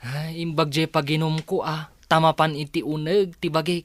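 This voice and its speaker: A man's voice: a little high, little pitch movement, normal speed, no room sound, close to an everyday voice. A man with an Ilocano accent playing an argumentative, sarcastic, hard-drinking son in an audio drama. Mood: indignant. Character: aggrieved hard-drinking son quarrelling over gambling and money.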